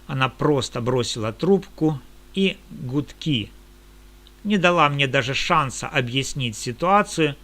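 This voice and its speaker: A man who works as a lawyer, giving a measured, indignant monologue in formal legal language. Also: medium pitch, a bit bright.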